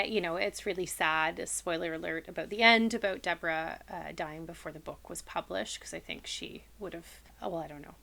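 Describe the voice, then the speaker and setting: Low, bright-voiced woman with gravelly creak. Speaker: book club podcast co-host. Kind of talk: casual spoken book review.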